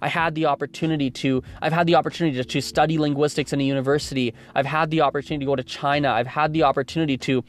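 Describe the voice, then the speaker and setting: A man's voice: on the high side, fairly even intonation, very fast, hardly any pauses, a little hiss or hum. A man in his twenties from Canada, hosting a solo podcast and thinking aloud, rambling with many restarts. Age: 20s. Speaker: podcast host.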